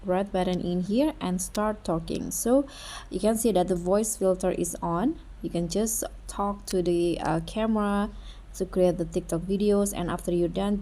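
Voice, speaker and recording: A woman's voice: fairly low pitch, normal speed, mellow. A woman hosting a how-to tutorial, narrating the steps in a casual, instructional way. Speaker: tutorial host.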